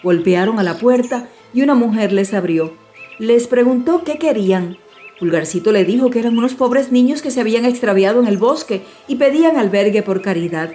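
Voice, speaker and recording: A woman storyteller reading a scripted fairy tale in an expressive, measured way. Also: a little high, soft background music. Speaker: audiobook storyteller.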